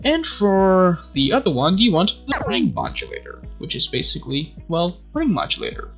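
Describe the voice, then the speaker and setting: A man narrating a scripted tutorial as its host, with theatrical, drawn-out emphasis. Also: high voice, wildly swooping pitch, gravelly, breathy edge, lo-fi sound, faint music behind.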